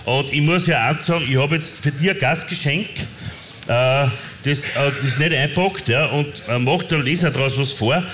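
Upper Austrian male co-host, playful storyteller, slightly high voice, faint background hiss. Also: moderate pace, fairly bright tone. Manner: playful, reads anecdotes aloud with gusto. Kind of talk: casual talk show banter.

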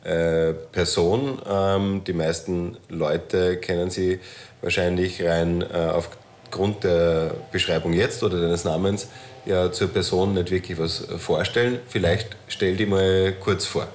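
A man with a low-pitched voice. He hosts an interview in a casual style. His sentences take the long way round, with hesitations and frequent pauses. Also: level tone, darkish tone, a little hiss or hum. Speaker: host, interviewer.

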